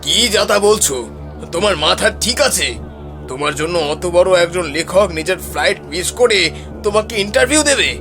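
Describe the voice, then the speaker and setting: Man acting a stern high-voiced office boss. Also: a little fast, fairly bright tone, faint music behind. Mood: scolding. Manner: scolding, authoritative. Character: stern, pushy male newspaper boss on the phone.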